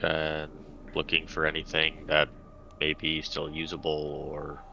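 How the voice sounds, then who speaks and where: A man's voice: rumbling low, completely flat delivery, measured pace, creaky, dull audio, noisy background. A man playing a tabletop roleplaying game, casually stating what his character does.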